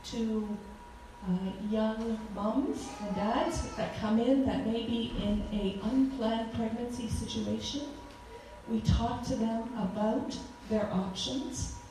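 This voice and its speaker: A woman in her forties with a loud voice, giving a fervent talk as a guest speaker at a church, with frequent ums. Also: fairly high pitch. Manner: earnest, fervent, with many ums. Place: church hall. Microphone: handheld microphone. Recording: echoey hall from far off.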